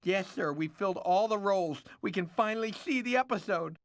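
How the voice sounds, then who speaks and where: A man voicing a slick, frantic cartoon casting director with a theatrical, easily exasperated manner, for a video game. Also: high voice. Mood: nervous, deferential. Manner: theatrical, exasperated, fast-switching boss.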